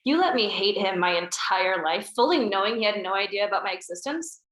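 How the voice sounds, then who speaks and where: A woman's voice: mid-range voice, lively intonation, brisk, fairly bright tone, lightly acted. A woman reading the part of a young woman who feels betrayed, in an emotional, accusing way at a table read. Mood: hurt, accusing. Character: young woman, hurt and confronting her family. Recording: roomy echo.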